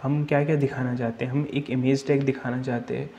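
Mellow-voiced man explaining code step by step. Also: mid-range voice, brisk, gravelly, dull audio. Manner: steady step-by-step explaining, thinks aloud while typing. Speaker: coding tutor. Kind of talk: screen-recorded coding tutorial.

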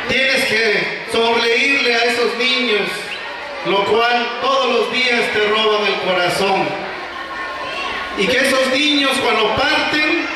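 A man with a Mexican accent, a preschool director, giving a slow, heartfelt ceremony speech and raising his voice to carry over the event. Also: extremely high pitch, background noise. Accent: Mexican Spanish. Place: covered school patio at a crowded graduation. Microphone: handheld mic through PA, filmed from afar. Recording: echoey PA sound from far off, loud crowd.

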